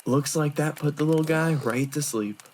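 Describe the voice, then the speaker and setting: A man playing a smooth-talking, lecherous young monk in a fan audio drama, polite and mock-innocent. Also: on the high side, moderate pace, a little mellow, slight background noise, close to an everyday voice. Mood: smug, amused.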